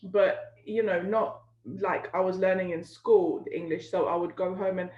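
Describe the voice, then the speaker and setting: A woman's voice: fairly low pitch, moderate pace, roomy sound, highs cut off. A woman in her thirties, born in northern Iraqi Kurdistan, speaks candidly as an interview guest over a video call from home, talking with her hands. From Kurdistan, northern Iraq. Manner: animated, talks with her hands. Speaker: guest, business coach.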